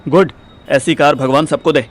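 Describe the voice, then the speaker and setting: A man's voice: on the high side, steady tone, normal speed, lightly acted. A man playing a hot-headed, jealous, teasing young boyfriend in an audio drama, with an Indian accent and exclamatory delivery that mixes in English. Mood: teasing, hurt. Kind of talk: Hindi audio drama acting. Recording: music behind the voice.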